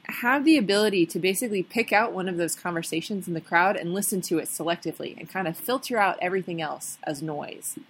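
A young adult woman with a fairly low voice co-hosts a casual podcast. She explains ideas patiently, pausing with ums and restarting phrases as she goes. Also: husky. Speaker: podcast co-host.

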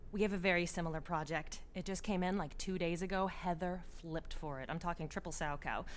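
A woman's voice: lowish voice, a little fast, a little hiss or hum, close to an everyday voice. An actress playing a breezy female television executive in a satirical audio drama, effusive, chummy and slangy. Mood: breezy, evasive.

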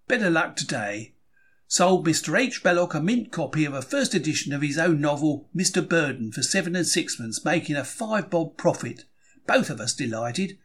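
Cheeky 1930s bookseller diarist , male reader: higher-set husky voice, chatty, opinionated, colloquial storytelling. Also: fairly bright tone, exaggerated voice. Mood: delighted, wondering. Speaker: reader of a diary. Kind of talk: expressive scripted audiobook-style reading.

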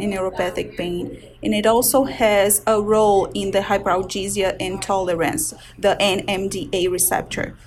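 A woman's voice: mid-range voice, a little mellow. Woman, Brazilian Portuguese accent, thirties, physician, careful explanations, many ums, faint hiss behind. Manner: explains medical terms carefully with frequent hesitations. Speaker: resident physician and researcher. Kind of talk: interview answers.

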